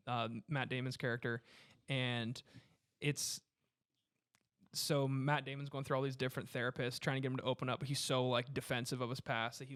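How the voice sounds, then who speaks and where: A man's voice: mid-range voice, clear, resonant tone. Enthusiastic man in casual conversation. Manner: enthusiastic, slangy. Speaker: discussion panelist. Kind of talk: casual group chat.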